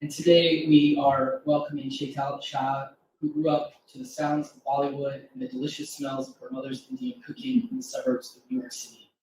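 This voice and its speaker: A man's voice: on the high side, moderate pace, few pauses, darkish tone. Very echoey distant man introducing an event. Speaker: event host introducing an author. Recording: very echoey room, distant mic, faint noise.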